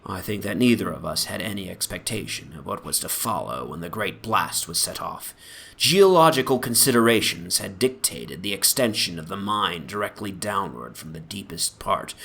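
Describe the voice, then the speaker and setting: A man giving a formal, measured, theatrical dramatic reading of a gothic horror story as its narrator. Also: on the low side, vocal fry, sharp tone. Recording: background noise from an ambient soundscape. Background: atmospheric soundscape.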